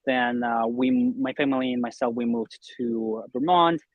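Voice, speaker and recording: A man's voice: mid-range voice, normal speed, no room sound, highs cut off. A young man from Russia, an interview guest explaining his online business in a conversational way. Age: young adult.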